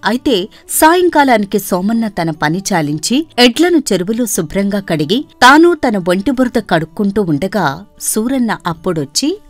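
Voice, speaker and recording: A woman narrating a scripted animated story as a storyteller. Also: medium pitch, moderate pace, no echo, faint music behind.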